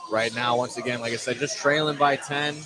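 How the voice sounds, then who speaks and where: A man's voice: mid-range voice, fairly even intonation, a little fast, a crowd in the background. A man calling live basketball play-by-play as an announcer, often stumbling and correcting himself. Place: indoor basketball game, courtside broadcast.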